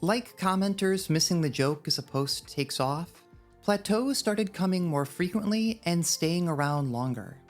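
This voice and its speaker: A woman's voice: fairly low pitch, lively intonation, unhurried, faint music behind. A woman narrating a scripted video essay in a wry, drily humorous manner. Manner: wry, dry humour.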